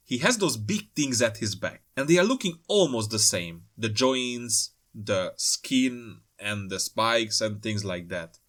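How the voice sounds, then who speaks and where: A man's voice: medium pitch, fairly bright tone, husky. A man giving casual, playful commentary as the host of a hobby video, with jokey asides. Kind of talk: casual scripted-feel commentary on trailer pictures.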